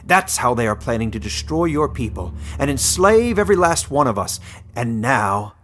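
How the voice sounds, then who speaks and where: A man voicing a gruff, blunt bear who bellows at an animal council in a fantasy story. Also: medium pitch, very animated, moderate pace, fairly bright tone, soft background music, voice pushed up. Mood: loud, accusing.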